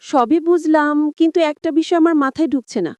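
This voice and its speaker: Lady doing a hurt village wife, bengali story, high voice, doubting, rural way of talking. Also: normal speed, a little mellow, no room sound, close to an everyday voice. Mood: doubtful. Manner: colloquial rural Bengali speech, questioning. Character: suspicious, hurt, devoted young village wife.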